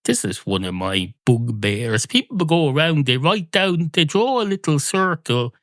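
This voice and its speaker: A man's voice: on the high side, sing-song, unhurried, a bit bright, no room sound, studio quality. A man hosting a podcast with a rambling, digressive comic monologue, mock-pedantic and repetitive. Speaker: podcast host.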